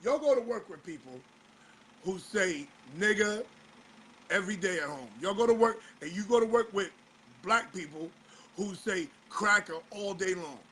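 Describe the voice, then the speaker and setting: Male host, very high bright voice, a bit hoarse, exasperated rhetorical talk-show riffing. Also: moderate pace, a little hiss or hum, rough recording. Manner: fires rhetorical questions, exasperated. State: slightly hoarse. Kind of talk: casual talk-show commentary.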